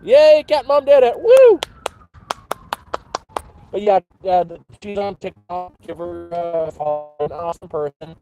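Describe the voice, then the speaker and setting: A man's voice: sits high, lively intonation, unhurried, dark tone, faint music behind. A man in his thirties chatting casually to his livestream chat outdoors by a campfire, rambling and swearing freely. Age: 30s. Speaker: livestream host.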